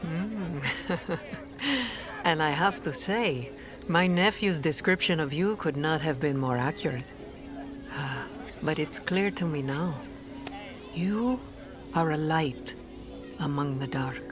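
An actress playing a mystical, doting older aunt who reads crystals, portentous and affectionate. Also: female voice, sits low, takes it slowly, pauses often, over music.

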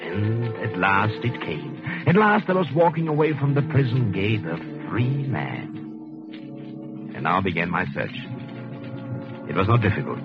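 An actor playing a cruel, educated convict in a 1940s radio drama, measured and insinuating, with menace under a soothing surface. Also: male voice, on the low side, very animated, music in the background. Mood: cold, calculating. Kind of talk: 1940s radio acting with narration. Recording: muffled 1940s radio recording.

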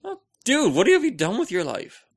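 A man co-hosting a casual podcast, rambling in a joking, animated, mock-indignant way. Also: high-pitched, moderate pace. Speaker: podcast co-host.

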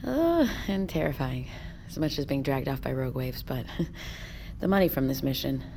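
A woman playing a tough, blunt deep-sea diver in a naturalistic audio drama, casual and weary. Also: very animated, normal speed, creaky, dark tone, slight background noise, full character voice. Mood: weary, frank.

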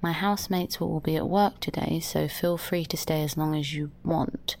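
An actress playing a needy, fragile young woman in an audio drama, murmuring softly and haltingly. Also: deep voice, steady tone, gravelly. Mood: soft, pleased. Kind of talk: naturalistic audio drama acting.

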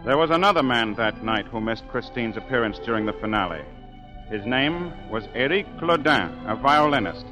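An actor playing a solemn storyteller who narrates deliberately in the scripted style of 1940s radio drama. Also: male voice, medium pitch, expressive, natural voice. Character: smooth, solemn male storyteller recalling a tragic tale. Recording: dull old 1940s radio broadcast. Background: music.

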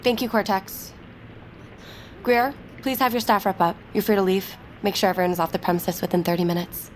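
Woman acting a capable personal assistant, courteous, stressed, medium pitch, clear background noise. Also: fairly even intonation, a little fast, close to an everyday voice. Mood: businesslike. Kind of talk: naturalistic audio drama acting.

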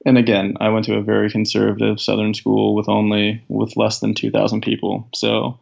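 Young man, deep raspy voice, heavy gravel, low pitch, reflective casual talk, muffled lo-fi recording. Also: completely flat delivery, brisk, darkish tone. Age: 20s. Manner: reflective, thoughtful, many fillers. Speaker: guest, professional soccer player. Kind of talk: casual interview conversation. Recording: dull lo-fi sound.

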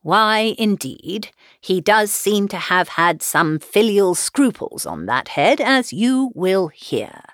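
A woman's voice: mid-range voice, sing-song, no echo. Woman voicing a wry, dry-witted older father. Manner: measured, wry and teasing. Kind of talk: audiobook reading.

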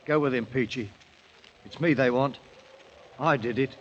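An actor playing a proud, headstrong British adventurer turned king, in a rough colloquial, commanding manner in a 1940s radio drama. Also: medium pitch, a little slow, slight background noise, lightly acted. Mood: grave, resigned. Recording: dull 1940s radio recording, music behind.

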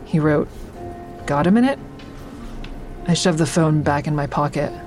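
A guarded woman in a fiction podcast, speaking low over prominent music. She turns inward as she narrates, wry and understated, and keeps the same careful tone in dialogue. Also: unhurried, a little mellow. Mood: uneasy, inward. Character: guarded woman, a stand-up comic and crisis-line volunteer, narrating. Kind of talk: first-person audio drama narration and dialogue.

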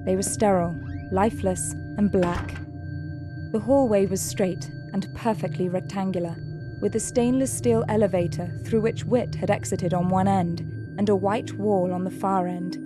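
A woman with a mid-pitched voice narrates a scripted fiction story. Her delivery is measured and descriptive, with music clearly present behind her. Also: steady tone. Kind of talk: scripted audio drama narration.

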